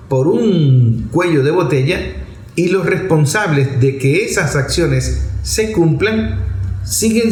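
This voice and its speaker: Venezuelan man, higher-pitched voice, roomy echo. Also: a little mellow. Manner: didactic, repeats phrases for emphasis, asks rhetorical questions. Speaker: political commentator addressing an online audience. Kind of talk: extemporaneous political commentary. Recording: roomy echo with faint background noise.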